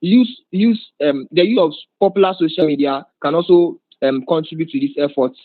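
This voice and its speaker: Man, formal halting report delivery, thin phone-like call audio, little room sound. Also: a little high, no echo. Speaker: committee member presenting a report. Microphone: video call.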